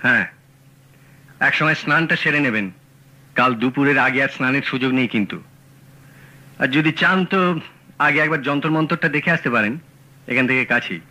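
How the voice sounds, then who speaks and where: An actor playing a calm, self-assured detective in an old radio play. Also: male voice, mid-range voice, moderate pace, pauses often, rough recording. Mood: composed. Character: calm sharp male detective, cool and clipped. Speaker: Feluda. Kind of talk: 1970s radio drama acting.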